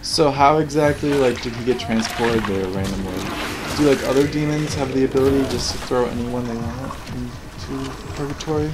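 A man's voice: medium pitch, normal speed, poor audio. A man giving casual, rambling, critical off-the-cuff commentary on video game cutscenes. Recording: game soundtrack playing behind. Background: video game cutscene audio and music.

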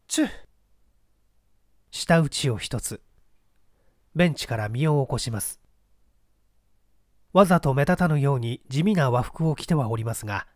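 A man reading a literary mystery story in a theatrical, measured, dramatic narrator's style. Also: a little high, a little mellow, dry recording, clean studio sound. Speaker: audiobook reader.

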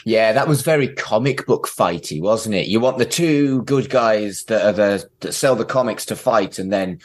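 A man's voice: fairly low pitch, lively intonation, moderate pace. A man hosting a casual pop-culture discussion, opinionated and sweary, often putting questions to his guests. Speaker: podcast host.

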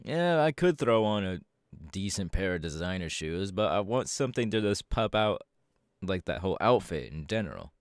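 Casting: male actor for cocky, easygoing young winged hero, low register, very animated intonation, slangy and hesitant, audio roleplay. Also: smooth, no room sound, studio quality. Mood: casual, sheepish. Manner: casual, hesitant pauses, slangy. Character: laid-back, cocky young male winged superhero and model. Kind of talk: ASMR audio roleplay, casual conversational acting.

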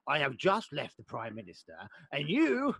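Spluttering, creaky old English lord. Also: male voice, fairly high pitch, wildly swooping pitch, normal speed, fairly bright tone, no echo, exaggerated voice. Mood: hearty. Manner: spluttering, stammering, pompous. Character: blustering, indignant elderly English lord, exasperated father. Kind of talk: radio play acting.

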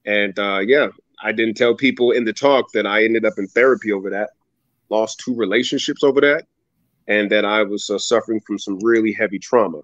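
A man in his thirties telling a personal story as a guest on a video-call interview, animated and dramatic, leaping up in pitch when he acts out other people. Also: a little fast, no room sound. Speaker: guest speaker. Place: home room with ceiling fan, indoors. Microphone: video call webcam. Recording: dull, dry video call audio.

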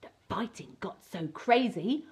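A theatrical woman entertainer reads to children in a fairly high voice with a breathy rasp. Her delivery is exaggerated and expressive, swooping widely up and down. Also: unhurried. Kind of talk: theatrical children's storybook reading. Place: small indoor set with fabric backdrop.

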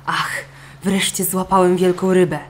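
A woman's voice: lowish voice, flat delivery, moderate pace, slight background noise, lightly acted. Woman playing a meek, humble old fisherman. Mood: excited. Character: humble, good-natured, henpecked old fisherman.

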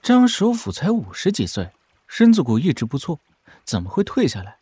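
An actor playing an informal, expressive young prince who leans on his adviser, talking in a familiar, colloquial way in a wuxia audio drama. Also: fairly high pitch, moderate pace, breathy edge, no room sound, full character voice. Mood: puzzled.

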